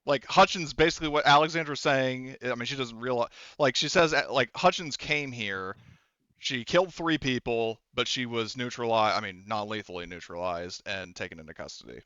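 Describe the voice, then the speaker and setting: Guy game mastering, smooth clear voice, stop-and-start casual talk, slightly dull recording. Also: mid-range voice, bright, forceful tone, no echo. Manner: casual, halting, profane. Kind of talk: narrating a tabletop game.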